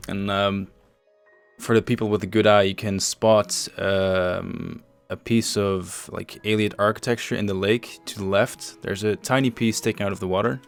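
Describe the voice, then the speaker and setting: Low, smooth-voiced man hosting a livestream. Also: fairly even intonation, moderate pace. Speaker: host and project lead on a developer livestream. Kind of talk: casual show-and-tell commentary over game screenshots. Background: faint music.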